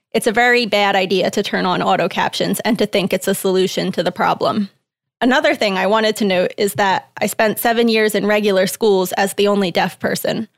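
Creaky-voiced woman reading interview answers. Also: mid-range voice, no echo, studio quality. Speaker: stand-in voice reading the guest's typed answers.

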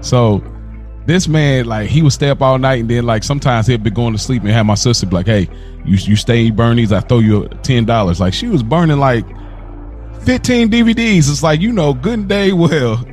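A young man co-hosts a podcast in a relaxed, chatty way, with a voice of middling pitch. He tells stories with animation and laughs often, and faint music plays under his talk. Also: moderate pace. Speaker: podcast co-host. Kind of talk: casual chat.

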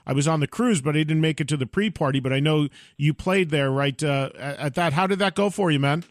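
A man's voice: fairly high pitch, brisk, no echo, clean studio sound. A middle-aged man hosting a rock interview show, chatty and enthusiastic. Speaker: radio and podcast host. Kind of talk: interviewing a guest.

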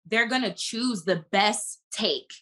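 A woman with a bright, forceful voice speaks as a guest in a casual podcast chat. She is an actress who tells things in a slangy, emphatic way, with plenty of animation. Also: medium pitch, slow pace. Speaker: guest, stage and screen actress.

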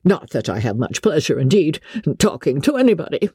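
A woman's voice: sits low, very animated, brisk, dark tone, breathy edge, no room sound, clean studio sound, exaggerated voice. A woman narrator voicing a fretful, melodramatic middle-aged mother who complains plaintively in a classic novel reading. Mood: indignant scolding. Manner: doleful, wailing complaint.

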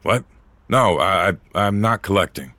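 An actor playing a weary, guilt-ridden, very old augmented great-grandfather in an audio drama, with a hesitant, low-key manner. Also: expressive, measured pace, sharp tone, full character voice. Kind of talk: audio fiction acting with first-person narration.

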